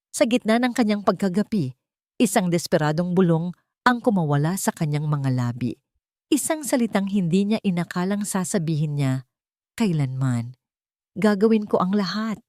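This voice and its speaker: Woman narrating a drama, low-pitched. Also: a little mellow, dry recording, clean studio sound. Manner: measured, emotive storytelling with short phrases. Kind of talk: scripted dramatic story narration.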